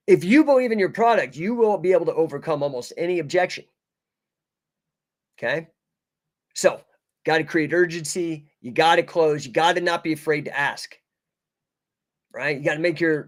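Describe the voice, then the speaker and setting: A man with a slightly high voice gives informal live coaching online. He talks in punchy, emphatic phrases, addresses listeners like buddies in casual slang, and keeps checking that they follow.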